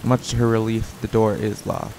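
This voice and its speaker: A man's voice: on the low side, monotone, normal speed. A man reading a scripted radio play as narrator in an amateur way, with noisy sound effects behind him.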